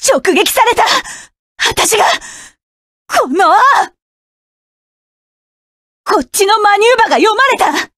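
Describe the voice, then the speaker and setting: A woman's voice: extremely high pitch, lively intonation, moderate pace, fairly bright tone, dry recording, studio quality. A woman voicing a proud, fierce young woman mech pilot who barks curt, commanding and scornful lines. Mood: desperate, furious. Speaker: voice actress. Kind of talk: game voice clip collection.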